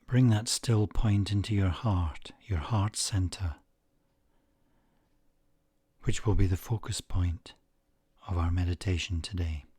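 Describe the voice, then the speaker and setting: A man narrating a guided meditation in a measured way, leaving long pauses between short phrases. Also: sits low, mellow, slightly rough, dry recording.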